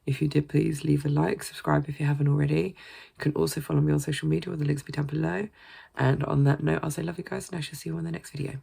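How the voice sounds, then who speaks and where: A woman's voice: low voice, little pitch movement, fast, gravelly, warm tone, husky. A British woman chatting casually in a vlog, rambling and swearing freely, sounding a bit congested. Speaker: gaming vlogger.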